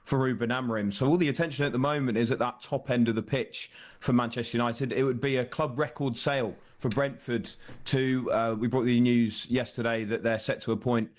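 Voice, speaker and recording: A man's voice: mid-range voice, steady tone, brisk, few pauses. A man working as a football reporter, explaining transfer news in an off-the-cuff, conversational broadcast discussion.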